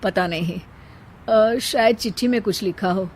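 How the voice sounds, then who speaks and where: A woman's voice: mid-range voice, a little slow, a little mellow, slight background noise, lightly acted. A woman voicing an earnest, emotional young man, a younger brother in a children's story. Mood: concerned. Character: earnest young man, devoted but easily swayed brother.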